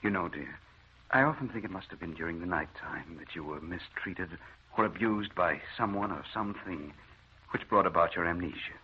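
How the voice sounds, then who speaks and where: A serious formal doctor husband, deep voice, old muffled radio drama sound. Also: male voice, lively intonation, a little hiss or hum. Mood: concerned. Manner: formal, measured, courteous. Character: grave, devoted doctor husband addressing the press.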